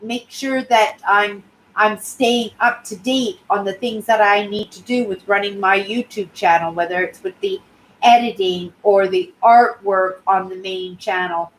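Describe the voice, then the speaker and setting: A woman in her sixties from Canada, chatting casually on her live stream in a clear, high-pitched voice and often ending sentences with "right?". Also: steady tone, unhurried, a little hiss or hum, rough recording. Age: 60s. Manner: rambling, tags sentences with "right?". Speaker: YouTube channel host.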